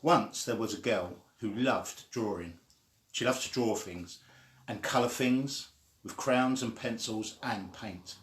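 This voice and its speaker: A man reading a children's story aloud in a deliberate, simply phrased way. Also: lowish voice, a bit bright. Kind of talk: slow read-aloud storytelling. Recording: echoey room.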